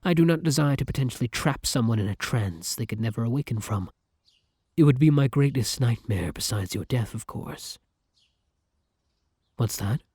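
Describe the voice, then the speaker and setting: A man's voice: medium pitch, mellow, slightly rough, no echo, clean studio sound. A man playing a devoted, old-fashioned vampire in an ASMR roleplay, speaking formally and with flowery affection. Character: courtly, devoted gentleman vampire, male, tender and old-fashioned. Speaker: roleplay voice actor. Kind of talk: ASMR roleplay acting addressed to the listener.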